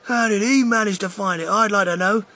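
A man voicing a large boy who shouts angrily and enviously. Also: high voice, fairly quick, pitched above the performer's own voice, exaggerated voice. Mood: angry resentful. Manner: shouting resentfully.

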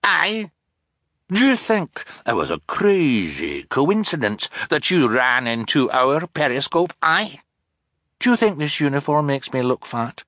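Man acting a blustering, excitable submarine captain. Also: fairly high pitch, a little slow, dry recording, clean studio sound, pitched above the performer's own voice, exaggerated voice. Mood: astonished, blustering. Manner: exclamatory, urgent, repetitive bursts. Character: blustering, frantic, bombastic male submarine captain. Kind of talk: audiobook character voice.

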